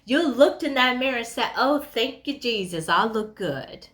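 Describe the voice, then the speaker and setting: High-pitched woman motivational speaker. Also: expressive, moderate pace, fairly bright tone. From Kenya. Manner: emphatic and conversational, asks rhetorical questions. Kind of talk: motivational talk addressed to listeners.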